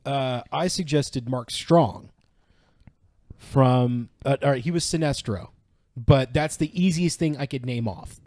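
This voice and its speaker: A man's voice: medium pitch, unhurried, darkish tone, dry recording, studio quality. A man chatting casually as a podcast panelist, informal and free with swearing. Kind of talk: casual chat.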